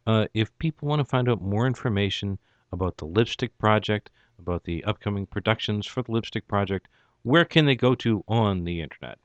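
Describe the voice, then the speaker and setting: A man hosting an interview, asking questions haltingly with many restarts and fillers. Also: lowish voice, lively intonation, dry recording. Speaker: radio interviewer. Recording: dull lo-fi dry sound.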